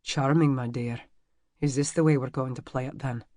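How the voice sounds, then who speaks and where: A narrator voicing a charming, amused Scottish man with a deep, rumbling brogue, speaking softly and teasingly in an audiobook. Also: steady tone, no echo, clean studio sound, natural voice. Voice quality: deep, low rumbling murmur. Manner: soft, teasing and unhurried. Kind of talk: audiobook character voice.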